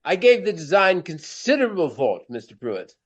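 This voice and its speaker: An actor playing a vain, elderly Regency stage actor with a pompous, florid, courtly manner in a radio play. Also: male voice, high voice, big pitch swings, normal speed, highs cut off. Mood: boastful, fond.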